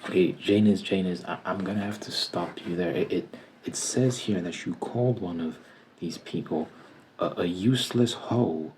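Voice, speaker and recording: A man playing a stern, formal TV news anchor in an ASMR roleplay, speaking softly and half-whispered with a stiff, stammering interviewer manner. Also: low-pitched, moderate pace, a little hiss or hum, close to an everyday voice. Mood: formal, probing. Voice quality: soft-spoken, half-whispered.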